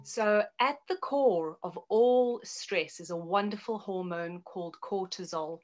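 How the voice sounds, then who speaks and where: A woman's voice: medium pitch, measured pace, a little mellow. A middle-aged woman with a South African accent, giving explanatory interview answers as a health adviser in an articulate way. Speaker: guest naturopath and health adviser.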